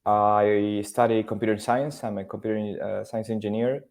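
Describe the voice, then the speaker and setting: A man's voice: on the low side, flat delivery, normal speed, a little mellow. A man from Argentina, speaking as a podcast guest in a thoughtful, casual way with frequent fillers. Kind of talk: casual interview talk.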